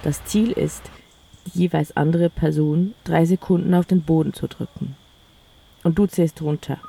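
Audio play narrator, first-person literary storytelling with quoted dialogue. Gravelly fry, middle pitch, slight background noise. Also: moderate pace, mellow.

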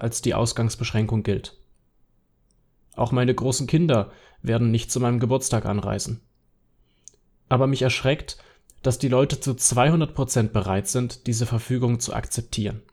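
A man acts out a defiant, worried social media user in a radio play collage. The voice sits at a middle pitch and argues in a conversational tone, like written posts spoken aloud. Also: a little mellow, natural voice. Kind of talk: scripted radio collage reading of online posts.

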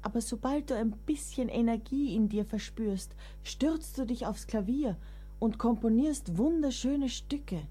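Middle-aged woman writer for radio drama: mellow, devoted, wry and motherly, faint music behind. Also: a little high, a little slow, slightly rough. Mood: tender, admiring. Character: devoted, exasperated woman writer nearing forty. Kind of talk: radio play acting.

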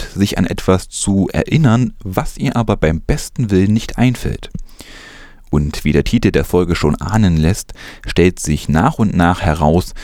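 A man's voice: low voice, warm tone, no room sound, studio quality. A man presenting news as scripted narration.

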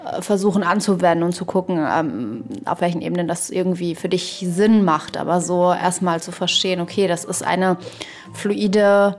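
A woman in a reflective podcast discussion, explaining tentatively and pausing to find her words. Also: fairly low pitch. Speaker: podcast co-host.

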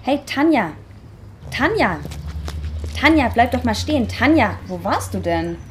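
A woman's voice: a little high, sing-song, a little slow. A woman playing a confused, insistent young woman in an amateur radio play, with some noise on the recording. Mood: calling out eagerly.

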